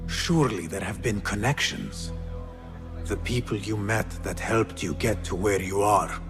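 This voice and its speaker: An actor playing a composed, guarded young thief posing as a trader in a video game, polite. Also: male voice, on the low side, measured pace, music in the background. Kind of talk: cinematic video game dialogue.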